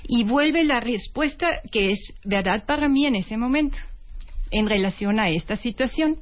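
Austrian woman guest, clear step-by-step explainer, higher voice, muffled radio sound. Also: animated, moderate pace. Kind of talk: explaining a self-inquiry method on radio. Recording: dull lo-fi radio audio.

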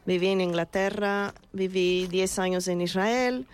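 A woman's voice: mid-range voice, measured pace, slight background noise. A woman from Brazil, a guest on a food radio show, chatting cheerfully in casual talk. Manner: cheerful, laughs easily. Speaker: restaurant representative guest. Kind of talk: casual chat.

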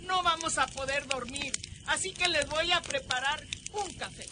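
A woman's voice: high-pitched, normal speed, noisy background, close to an everyday voice. An actress playing an anguished, fearful old Mexican grandmother in a melodramatic horror radio drama. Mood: grave, fearful.

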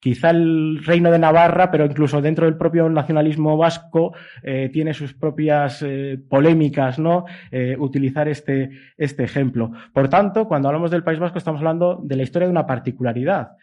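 Higher-voiced Spanish historian lecturing, dull recording. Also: male voice, fairly even intonation, moderate pace, few pauses. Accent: Spanish from Spain. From Spain (Basque Country and Galicia). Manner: discursive, hesitant, frequent checking tags. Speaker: academic historian giving a talk. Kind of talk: semi-improvised academic lecture. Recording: dull lo-fi sound.

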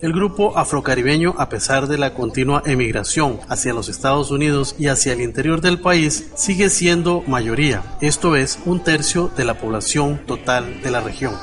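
Un hombre que lee una narración documental preparada para un programa de radio, con tono formal y pausado. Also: male voice, mid-range voice, fairly bright tone. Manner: formal, measured reading. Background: music.

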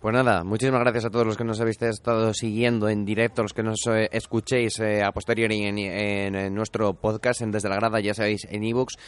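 A man from hosting a local sports radio show, signing off in a casual way with filler sounds and quick self-corrections. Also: fairly low pitch, level tone, smooth. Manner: brisk, fills gaps with "eh" and self-corrections. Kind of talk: casual radio sign-off chat.

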